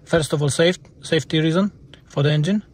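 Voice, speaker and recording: Male vlog mechanic, Polish accent and native tongue, raspy edge, informal explainer with halting second-language phrasing. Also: a little high. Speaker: car mechanic presenting a repair video.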